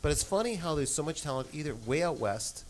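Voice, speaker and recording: A male co-host in a casual chat who mostly agrees in quick asides and now and then asks a question. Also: a little high, animated, a little mellow, slight background noise. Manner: brief agreeing interjections, laughs along.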